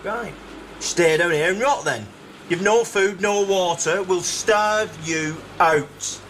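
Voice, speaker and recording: Casting: pit official, higher-pitched, patronising and menacing, radio drama, noticeable background noise. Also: male voice, slow, a bit bright, rough recording. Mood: threatening. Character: stern, condescending male mine official turning threatening. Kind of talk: broadcast radio drama acting.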